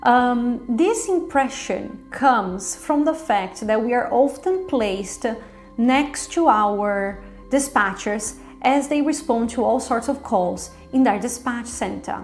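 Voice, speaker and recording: A woman reviewer delivering semi-scripted commentary in an articulate, explanatory way with measured phrasing. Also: on the high side, a little mellow. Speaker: drama reviewer hosting own review show.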